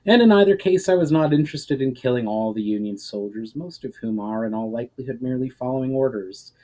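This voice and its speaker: A man reading as a calm, formal elf. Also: mid-range voice, lightly acted. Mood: merciful. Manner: formal, measured, explanatory. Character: male elf spell-weaver, calm, courteous, gently admonishing.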